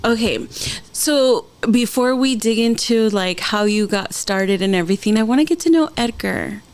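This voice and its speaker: A woman's voice: fairly high pitch, lively intonation, normal speed. A woman hosting an interview podcast, chatting in a bubbly way and mixing in Spanish phrases. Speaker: podcast host. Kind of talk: casual interview chat.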